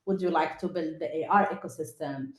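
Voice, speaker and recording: A woman's voice: deep voice, fairly quick. Lebanese woman in an echoey room. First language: Arabic. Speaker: panelist, tech programme lead. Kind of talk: self-introduction on a student panel. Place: indoor room, online video call. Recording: roomy, echoey call audio.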